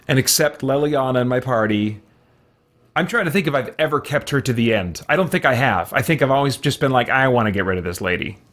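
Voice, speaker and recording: A man's voice: medium pitch, a bit bright. A man with a mid-range voice hosts a gaming video in a casual way. He thinks aloud as he plays, muttering to himself and hesitating between choices. Mild oaths slip out as exclamations. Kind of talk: casual let's-play commentary, thinking aloud.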